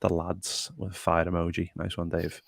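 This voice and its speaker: A man's voice: low-pitched, monotone, moderate pace, gravelly, no room sound, studio quality. A young man hosting a casual podcast chat and reading out viewer comments. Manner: chatty, reads out viewer comments. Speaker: podcast host.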